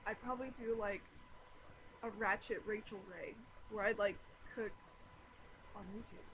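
A woman's voice: medium pitch, fairly even intonation, moderate pace, lo-fi sound, background noise. A woman guest in casual chat, rambling with lots of fillers.

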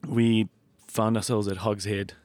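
A middle-aged South African man with a slightly croaky voice, hosting a casual chat and telling anecdotes in a self-deprecating way. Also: fairly low pitch, flat delivery, unhurried, darkish tone, no room sound. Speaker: podcast host.

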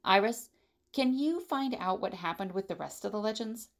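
A woman playing a brisk, take-charge leader of a fugitive team in an audio drama, with a creaky voice. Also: medium pitch, lively intonation. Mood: commanding.

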